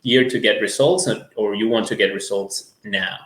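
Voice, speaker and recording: A man's voice: lowish voice, normal speed, fairly bright tone. Roomy-sounding man, native Spanish speaker. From Ecuador. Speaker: music school owner, interview guest. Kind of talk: conversational testimonial.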